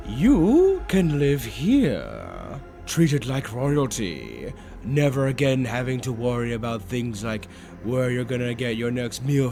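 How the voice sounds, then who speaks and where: A man voicing a flamboyant, sinister demon tempter in a roleplay monologue, taunting the listener with theatrical, drawn-out emphasis. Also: mid-range voice, darkish tone. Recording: background music under the voice. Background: orchestral waltz-style music.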